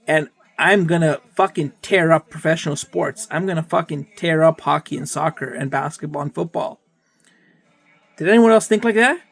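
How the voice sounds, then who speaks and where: A young man from Canada, talking in a rambling, profane monologue and repeating himself often. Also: fairly high pitch. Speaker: vlogger. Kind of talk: casual monologue.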